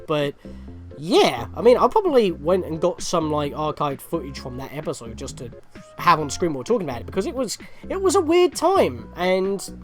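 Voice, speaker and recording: A man's voice: a little high, big pitch swings, normal speed, music in the background. A man giving chatty gameplay commentary as a video host, rambling and often correcting himself mid-sentence.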